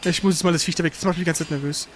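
A man's voice: on the high side, steady tone, very fast. A man streaming a video game, thinking aloud in casual, informal live commentary. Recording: faint background noise.

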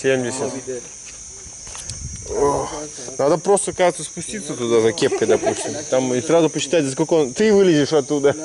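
A man's voice: mid-range voice, lively intonation, fairly quick, crowd noise. A man chatting casually and ironically on an outing, recorded outdoors on a handheld camera. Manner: joking, ironic. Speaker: tourist filming a vlog. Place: outdoors at a crocodile farm. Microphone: handheld camera microphone close by.

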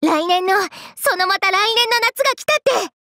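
A woman's voice: very high voice, expressive, moderate pace, background noise. A woman voicing a brash, playful, hyper young woman from an anime game, with cocky, slangy, teasing delivery. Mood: upbeat, encouraging. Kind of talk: Japanese anime game character voice lines.

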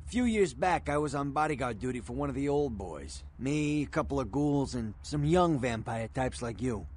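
A man's voice: mid-range voice, animated, normal speed, darkish tone, a little hiss or hum, lightly acted. A man voicing a street-wise, world-weary American underworld fixer, terse and matter-of-fact. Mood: matter-of-fact, guarded.

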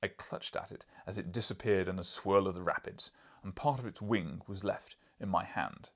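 A man's voice: sits low, normal speed. A man voicing an imperious, irascible older professor and explorer in an audiobook, lecturing pompously in a declamatory way. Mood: proud, declamatory. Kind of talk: audiobook character reading.

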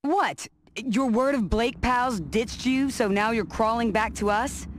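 A woman's voice: on the high side, a little slow, a little hiss or hum, lightly acted. A woman playing a defiant military officer in a video game, curt, sarcastic and commanding. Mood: hostile, skeptical. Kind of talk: video game cutscene acting.

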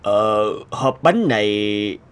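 A man's voice: fairly low pitch, expressive, very slow, clean tone. A man playing a stern, self-important old scientist in a cartoon, brusque and pompous. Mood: smug. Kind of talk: animated cartoon dialogue. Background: music.

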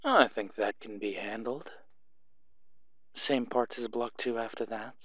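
A man performs a suave underworld club owner for an audio roleplay. He talks slowly, in a teasing, flirtatious purr. The sound is slightly dull, like a call. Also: mid-range voice, fairly bright tone, dry recording, lightly acted. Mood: smooth, assured. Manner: purring, unhurried, teasing.

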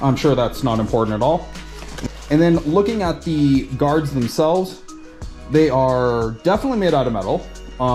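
A fairly high-voiced man from Canada talks casually as he unboxes gear in his home gym. His tone is chatty and unhurried, with the odd restart while his hands are busy. Soft music plays faintly in the background. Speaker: fitness vlogger.

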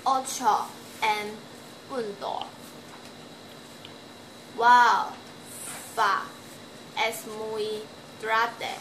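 A Taiwanese woman in her twenties, a native Chinese speaker and language student, answering questions slowly and carefully in a home video from a small bedroom. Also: on the high side, fairly even intonation, a bit bright, echoey room, a little hiss or hum, low-quality recording. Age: 20s. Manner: slow, careful learner's speech with halting restarts. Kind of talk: self-recorded homework video interview.

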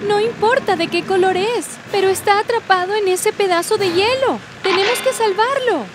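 Very high-voiced cartoon girl explorer. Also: moderate pace, heavy background noise. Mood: earnest, explaining. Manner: explanatory, earnest, commanding. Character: bright, take-charge young girl explorer who explains things. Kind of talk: animated children's cartoon dubbing. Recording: loud music behind the voice.

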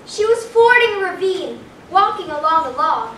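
Young female acting a very high-pitched, theatrical storybook girl. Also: sing-song, normal speed, a bit bright, full character voice. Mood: macabre relish. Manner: theatrical, declaiming. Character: eerie little storybook girl, dramatic and macabre. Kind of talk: staged play reading. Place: small hall, staged reading before an audience. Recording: roomy distant camera recording, faint noise.

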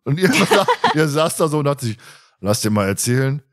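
A man's voice: on the high side, expressive, moderate pace. Chatty male podcast host. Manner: chatty, often chuckles while telling anecdotes. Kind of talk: casual podcast chat.

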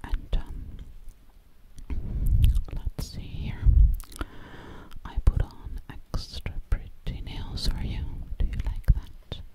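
Woman as a slow, whispering, sinister witch. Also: natural voice, low-quality recording. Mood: coaxing, gentle. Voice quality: breathy whisper. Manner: slow, lingering, repeats words hypnotically. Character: sinister, vain female witch posing as ASMR artist. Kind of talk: ASMR roleplay, close whispering. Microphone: close microphone.

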